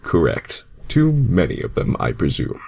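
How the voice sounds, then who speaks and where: Guy doing a low slow smug hedgehog, tryin to sound all grown up and serious, cartoon type. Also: huge pitch swings, vocal fry, a little mellow, slightly rough, heavily acted. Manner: slow, deliberate, mock-serious.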